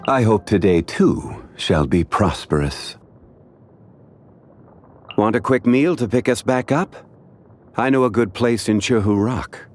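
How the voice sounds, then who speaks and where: An actor voicing a calm, courteous, dignified man of ancient wisdom in a video game, speaking formally and contemplatively. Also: fairly low pitch, measured pace, pauses often, faint music behind. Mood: polite, helpful. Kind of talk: scripted video game character voice lines.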